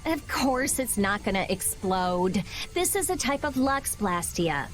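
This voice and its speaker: A woman's voice: medium pitch, big pitch swings, a little slow. An American actress playing a brusque, brainy teenage girl mage in an anime game dub, curt and matter-of-fact.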